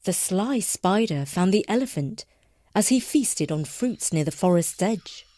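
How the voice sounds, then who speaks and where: Slow, animated woman storyteller. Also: medium pitch, no room sound, clean studio sound. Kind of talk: scripted children's story narration.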